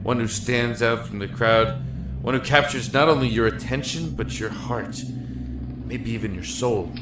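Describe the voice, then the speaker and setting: A man playing a despairing, regretful prisoner in a dark cell, speaking haltingly and reflectively in a dramatic audio monologue. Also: medium pitch, noisy background, close to an everyday voice. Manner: halting, reflective, emotive delivery. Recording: echoey, with music underneath.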